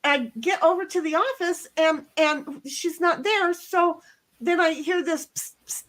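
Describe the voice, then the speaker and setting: A middle-aged woman with a loud voice, telling a story bluntly in a casual chat, swearing now and then. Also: very high-pitched, unhurried. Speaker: co-host.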